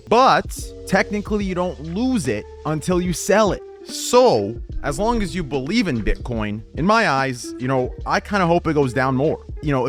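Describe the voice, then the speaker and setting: A man chatting casually on a livestream as a streamer, blunt, profane and rambling. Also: mid-range voice. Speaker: Twitch streamer.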